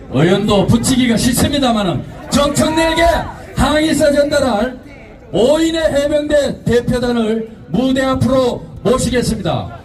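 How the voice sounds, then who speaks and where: Distant rally emcee man, lively high voice. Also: a little hiss or hum. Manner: formal announcements, shouted cues for the crowd. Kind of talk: announcing at a protest rally. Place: outdoor street rally. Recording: distant PA voice with loud crowd and music.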